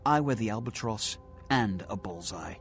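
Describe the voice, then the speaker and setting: Guy playing arrogant vampire prince in a game, super animated, grand pompous speeches, bossy, bit of music behind. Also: fairly low pitch, moderate pace, close to an everyday voice. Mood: self-pitying grandeur. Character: haughty, self-important male vampire prince, imperious and theatrical. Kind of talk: video game character acting.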